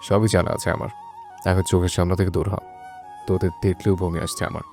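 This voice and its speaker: A man's voice: sits low, little pitch movement, fairly quick, gravelly, a little mellow, soft background music. An actor playing a cold, contemptuous injured young man in an audio story, scornful and commanding.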